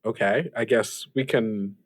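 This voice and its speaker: A man's voice: lowish voice, measured pace. A man playing an affable, flustered radio show host in an improvised interview, stammering and awkwardly polite, with a curious manner.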